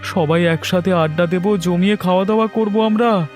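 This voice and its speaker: A man's voice: high voice, normal speed, a little mellow, lightly acted. A man voicing a poor, good-natured, naive village father in a cartoon folk tale. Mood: cheerful, eager. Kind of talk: Bengali cartoon fairy tale dialogue. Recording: music playing behind the voice.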